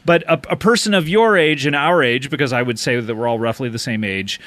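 A man's voice: mid-range voice, a little fast, a bit bright. A man hosting a casual podcast chat, rambling and joking, laughing often. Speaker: podcast host.

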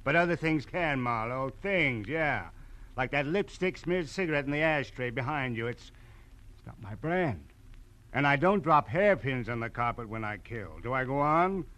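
A sharp, forceful male voice from an actor as a shady, money-minded gumshoe. He needles and wheedles in slippery, mocking slang, in the style of an old radio crime serial. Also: medium pitch, lively intonation, normal speed, close to an everyday voice. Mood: mocking, sly. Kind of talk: 1940s radio crime drama acting.

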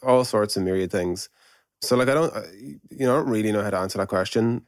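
Fast-talking man in casual podcast conversation. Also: fairly low pitch, dry recording, clean studio sound. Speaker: podcast guest discussing product principles and process.

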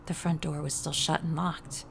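An actress playing a frightened, bewildered ordinary woman, telling her own thriller story in an urgent, breathy way. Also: deep voice, flat delivery, normal speed. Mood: tense, urgent. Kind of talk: first-person dramatic audio fiction narration. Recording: noisy sound-effect ambience behind voice.

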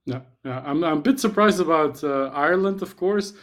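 Male interviewer with a slightly high voice. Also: moderate pace, a bit bright. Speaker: host, interviewer. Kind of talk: conversational interviewing.

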